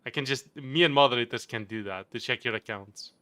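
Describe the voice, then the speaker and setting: A man's voice: mid-range voice, fairly quick, bright, forceful tone, clean tone, no echo. A man with a Portuguese accent, casually chatting with viewers on a game live stream, rambling and chuckling often.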